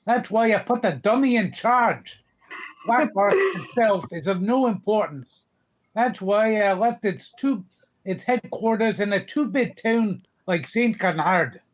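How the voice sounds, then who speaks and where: A grumpy old male duck tycoon from a cartoon comic, acted out live by an amateur reader. He is businesslike and exasperated, and his pitch swings widely as he talks. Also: lively intonation, unhurried, poor audio. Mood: brusque, scheming. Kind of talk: live comic dub reading.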